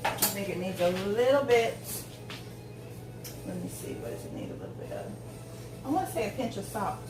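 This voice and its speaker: A woman's voice: mid-range voice, expressive, moderate pace, reverberant, recorded from a distance, music in the background. A woman in her forties chatting casually while she cooks at home, rambling through tangents with lots of ums. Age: 40s. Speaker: home cook vlogger. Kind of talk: casual chat while cooking. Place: home kitchen.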